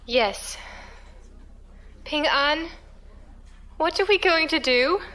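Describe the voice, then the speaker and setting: A woman's voice: high-pitched, lively intonation, drawn-out words, sharp tone, exaggerated voice. A woman playing an inquisitive, uneasy newcomer to a space pirate crew, talking informally in an audio drama. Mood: friendly, anxious. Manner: questioning, informal. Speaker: voice actor. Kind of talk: science fiction audio drama dialogue.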